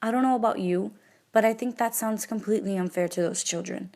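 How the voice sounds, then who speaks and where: A woman's voice: mid-range voice, brisk, a little mellow. A woman presenting a scripted explainer, speaking directly to the listener.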